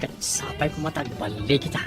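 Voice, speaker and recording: A woman's voice: very deep voice, fairly quick. A woman voicing a sly, giggly friend who plots along with the waitress. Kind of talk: amateur audio drama acting. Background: music.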